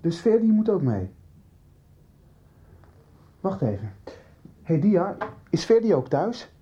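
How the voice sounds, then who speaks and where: A man's voice: high-pitched, sing-song, takes it slowly, mellow, dull audio, full character voice. An actor playing an earnest, anxious young man in a radio soap, plain-spoken and serious. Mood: concerned, apologetic. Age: young adult. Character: earnest, anxious young man worried about missing friend. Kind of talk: 1990s radio soap acting.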